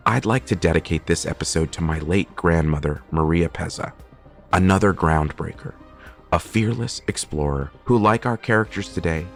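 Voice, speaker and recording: A man hosting an audio show with an earnest, deliberate scripted introduction. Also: low-pitched. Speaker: host and adapter of the show.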